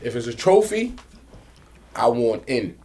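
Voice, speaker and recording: A man's voice: medium pitch, sing-song, unhurried, warm tone, a little hiss or hum. Streetwise boastful man from Bushwick. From Bushwick, New York. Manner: boastful, streetwise, fond of repeating himself. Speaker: reggae MC for a sound system. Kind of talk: casual interview chat.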